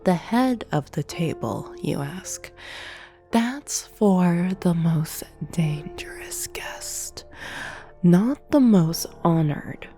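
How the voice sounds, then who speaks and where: Demon duchess , voiced by a woman: haughty, sadistic banquet hostess for ASMR roleplay; dark mellow tone, very unhurried, breathy whispers, formal and teasing. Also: on the low side, expressive, exaggerated voice. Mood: sinister relish. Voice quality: breathy, often dropping into whisper. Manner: slow, formal, imperious, menacing teasing. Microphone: close binaural microphone. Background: soft piano music.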